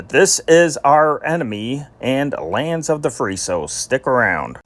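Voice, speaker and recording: Guy hosting an old radio channel, chatty, mid-pitched voice, bit of music under him. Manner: chatty, addresses listeners directly. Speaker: channel host. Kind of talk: informal hosted introduction to old radio programs.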